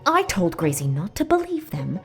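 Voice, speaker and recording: Village gossip played by a woman: stiff, contrary matron, animated swooping delivery, music underneath, storybook drama. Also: lowish voice, normal speed. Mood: scandalized gossip. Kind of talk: audiobook character acting.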